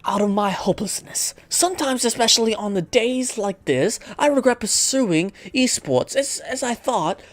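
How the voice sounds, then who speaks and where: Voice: medium pitch, no echo. A gamer narrating a visual novel aloud, stumbling over the text and breaking into rambling asides. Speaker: let's player reading a visual novel aloud. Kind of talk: gaming commentary and read-aloud narration.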